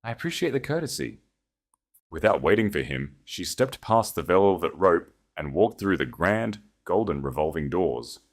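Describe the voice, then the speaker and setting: Deep-voiced male story narrator. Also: animated, a little slow, few pauses. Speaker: audiobook narrator. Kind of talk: dramatic scripted story narration.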